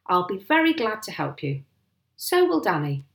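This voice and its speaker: A twinkly, sly father from a storybook, played by a woman. He stays soft-spoken and unruffled, making his case with mock earnestness. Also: medium pitch, very animated, normal speed, gravelly, pitched above the performer's own voice. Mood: agreeable. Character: calm, sly, twinkly father, adult man.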